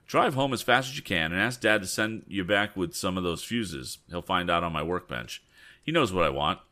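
A man's voice: deep voice, lively intonation, normal speed, sharp tone. A man voicing an earnest, practical young male inventor in a storybook, speaking directly and politely. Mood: brisk.

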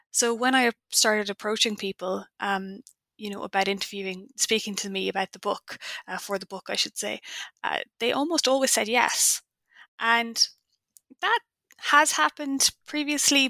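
A young adult Irish woman talks about her work in an interview, pausing often with ums as she thinks. Her voice is bright and forward. The sound is dry and close. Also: mid-range voice, gravelly, no room sound. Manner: thoughtful, hesitant with frequent ums. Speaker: author guest. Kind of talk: podcast interview.

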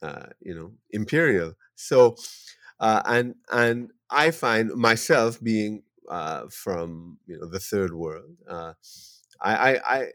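A man hosting an interview podcast, pausing often and restarting his sentences. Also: on the low side, lively intonation, a bit bright, no echo, studio quality. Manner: hesitant, many fillers and restarts. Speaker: podcast host.

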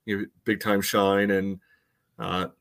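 A man co-hosting a soccer fan podcast, chatting casually in a halting, filler-heavy way. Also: on the low side, level tone, vocal fry. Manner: halting, peppers talk with "you know". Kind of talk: casual sports chat.

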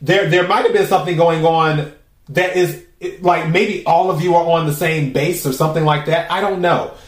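A man with a raspy voice hosting a podcast, giving a casual, chatty tarot reading and musing aloud with drawn-out pauses. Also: a little high, steady tone. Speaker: tarot reader and podcast host. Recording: roomy echo.

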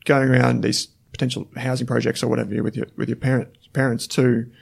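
Man, interview host, gravelly, asks questions, brief murmured replies. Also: medium pitch, little pitch movement, fast.

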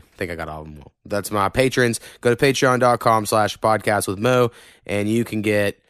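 A man's voice: lowish voice, a bit bright, clean tone, no echo, studio quality. A man with a slight Oklahoma country accent hosting a podcast, rambling and swearing freely in casual chat. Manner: profane, rambling and opinionated. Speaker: podcast host.

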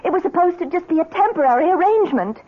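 Emotional wife, high swoopy voice, very dramatic, 70s radio play. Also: female voice, quick pace. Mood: defensive. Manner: breathless exclamations, dramatic and expressive. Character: emotional middle-aged wife, sentimental yet defensive. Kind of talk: 1970s radio drama acting. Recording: thin, phone-like old radio recording.

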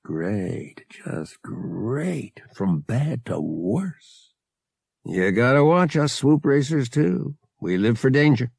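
A man's voice: medium pitch, animated, normal speed, gravelly, hardly any pauses, a little mellow, dry recording, voice pushed up. A man voicing a wry, good-natured young rogue with a dry, casual way of talking.

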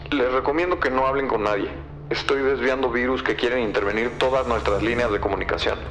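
A man's voice: medium pitch, a little fast, a bit bright, a little hiss or hum, natural voice. Echoey actor as a serious male tech analyst. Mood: serious, cautioning. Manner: serious, briefing-like. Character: cautious male police tech analyst reporting by phone. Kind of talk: crime audio drama acting. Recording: echoey voice, faint music behind. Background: faint music.

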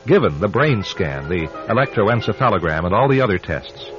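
A man hosting a radio drama with solemn, rhetorical scripted narration, measured and dramatic. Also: lowish voice, big pitch swings. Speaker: radio drama host and announcer. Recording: dull lo-fi radio recording with music.

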